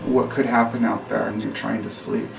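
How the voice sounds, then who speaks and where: A man who is his mother's caregiver, speaking softly and searchingly in a documentary interview. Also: medium pitch, flat delivery, moderate pace, creaky. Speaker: son and caregiver. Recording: distant echoey mic with loud music.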